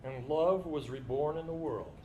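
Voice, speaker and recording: Man with lightly high voice, reading onstage. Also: moderate pace, warm tone, highs cut off. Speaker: stage reader in a dramatization. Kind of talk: staged literary reading. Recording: live stage recording with background noise.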